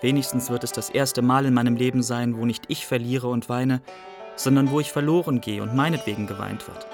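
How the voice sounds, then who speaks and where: An actor playing a melancholy young Iraqi refugee, narrating reflectively and in measured phrases in a literary radio drama. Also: male voice, medium pitch, fairly even intonation, music in the background, close to an everyday voice. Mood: resigned, wistful. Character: melancholy young Iraqi refugee recounting his life in Germany.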